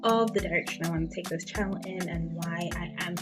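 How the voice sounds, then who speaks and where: A woman's voice: on the low side, steady tone, brisk, over loud music. A woman in her twenties with a South African accent, chatting casually to her viewers with plenty of fillers. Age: 20s. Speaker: beauty vlogger. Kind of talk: casual get-to-know-me chat.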